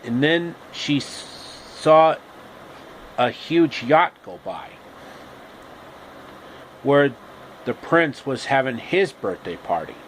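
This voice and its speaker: A man telling an improvised comic retelling of a fairy tale, haltingly and repeating phrases. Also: mid-range voice, rough recording. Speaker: storyteller. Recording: steady background noise.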